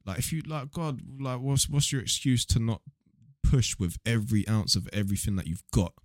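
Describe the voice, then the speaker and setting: A man's voice: medium pitch, brisk, a little mellow, dry recording, studio quality. A young man with a southern English accent chatting casually on a podcast in a blunt, slangy, sweary way. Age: young adult. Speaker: podcast guest, online video creator.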